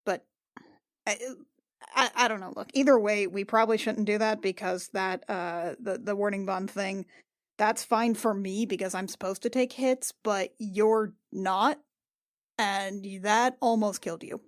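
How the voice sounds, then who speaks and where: A woman playing an awkward, guarded but protective warrior in a fantasy game, speaking in a halting, wry, casual way. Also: medium pitch, steady tone, no echo, studio quality, natural voice. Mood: halting, concerned.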